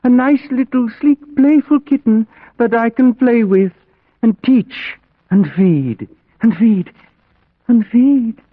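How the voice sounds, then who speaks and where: A very high, wheedling voice of a mad asylum patient, evasive and obsessive in radio-play style. He circles back over his words on a tinny, narrow old radio recording. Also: takes it slowly, heavily acted. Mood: wheedling, eager. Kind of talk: old-time radio drama acting.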